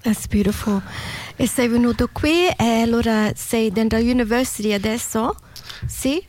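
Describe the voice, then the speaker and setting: A woman's voice: a little high, normal speed, a little hiss or hum. Bubbly woman radio host. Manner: warm, switches between Italian and English, laughs often. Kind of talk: bilingual community radio chat.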